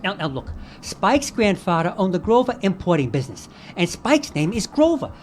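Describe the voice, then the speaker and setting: A woman's voice: mid-range voice, big pitch swings, moderate pace, darkish tone, lightly acted. A woman playing a gossipy, earnest young newsboy with slangy street talk in a live old-time radio drama. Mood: confiding, indignant. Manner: streetwise slangy, confiding, rambling. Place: live performance in a hall. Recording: live stage recording with background noise.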